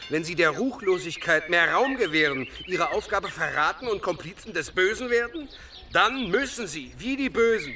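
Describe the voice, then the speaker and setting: An actor playing a fiery, righteous radical preacher in a German historical radio drama, with emphatic, declamatory delivery. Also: male voice, high-pitched, recorded from a distance, noisy background.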